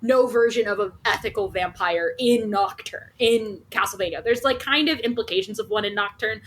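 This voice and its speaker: A woman co-hosting a podcast in animated casual discussion, talking emphatically and swearing freely. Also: mid-range voice, moderate pace, slight background noise. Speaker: podcast co-host.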